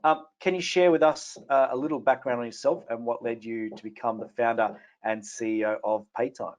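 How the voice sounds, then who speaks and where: A man's voice: medium pitch, steady tone, a little fast. A man hosting a live business webinar, reading a scripted opening and then asking loose questions to a panel. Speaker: webinar host, company CFO.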